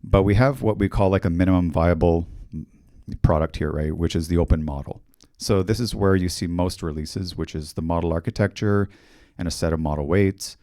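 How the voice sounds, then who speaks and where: Deep-voiced Canadian man giving a tech talk. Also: a little fast, no echo, clean studio sound. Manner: monotone, steady explanatory delivery. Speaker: conference speaker. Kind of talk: technical conference talk. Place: large conference hall stage. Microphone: handheld microphone.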